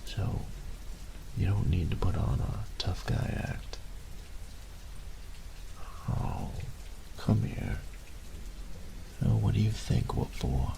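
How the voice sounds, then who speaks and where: Man playing a very deep, gravelly tender roommate. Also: animated, slow pace, pauses often, noisy background, heavily acted. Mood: concerned, comforting. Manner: soft breathy murmurs, teasing pet names. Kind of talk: intimate romantic audio roleplay. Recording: rain and thunder ambience behind voice. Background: rain and thunder.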